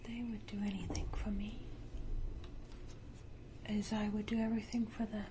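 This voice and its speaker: A woman voicing a proud, condescending vampire matriarch in a breathy, deliberate ASMR whisper, with theatrical pauses and close to the microphone. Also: medium pitch, little pitch movement, warm tone, slight background noise, lightly acted. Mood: tender, proud. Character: powerful female vampire matriarch, menacingly tender, proud, condescending.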